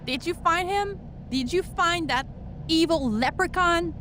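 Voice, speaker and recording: A woman playing an irritated, vengeful character in a wrestling video game cutscene, with a drawn-out, threatening delivery. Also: very high-pitched, bright, forceful tone, slight background noise, exaggerated voice.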